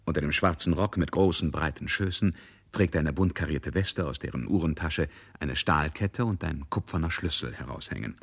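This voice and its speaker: A man's voice: normal speed, a bit bright, full character voice. A man narrating a literary radio drama in a scripted, storytelling style. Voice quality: husky, deep.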